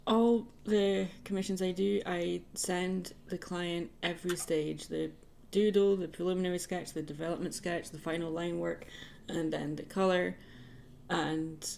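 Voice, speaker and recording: A woman's voice: lowish voice, fairly even intonation, normal speed, creaky, a little mellow, slight background noise. A woman chatting casually and playfully while livestreaming her artwork. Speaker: digital artist livestreaming.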